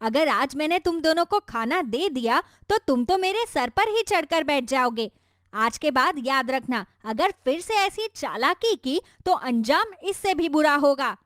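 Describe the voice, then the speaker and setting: A woman's voice: sits high, expressive, unhurried, a bit bright, no room sound, studio quality. A woman voicing a greedy, rude young daughter-in-law in a cartoon story, scolding and making insolent demands. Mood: scornful, angry. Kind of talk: Hindi cartoon story voice acting.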